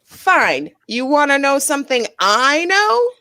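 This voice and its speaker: A woman's voice: high-pitched, big pitch swings, slow pace, sharp tone, heavily acted. A woman acting a proud, scornful young warrior in a comedic script read.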